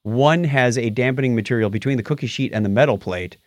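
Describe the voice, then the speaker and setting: A man's voice: mid-range voice, fast, no room sound, studio quality. A middle-aged man co-hosting a casual tech news show, talking with animation and stumbling over hard words. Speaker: podcast co-host.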